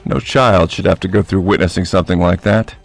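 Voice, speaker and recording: An actor playing a stern, commanding man with grave manners in a radio drama, slow and authoritative. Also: deep voice, fairly even intonation, vocal fry, no echo, soft background music.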